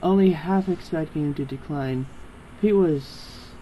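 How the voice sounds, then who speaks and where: A man chatting casually and reading aloud haltingly, stumbling and going back over words, over an internet voice call. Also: on the high side, warm tone. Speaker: participant in a group fan fiction reading. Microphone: internet group voice call. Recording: noisy online voice call.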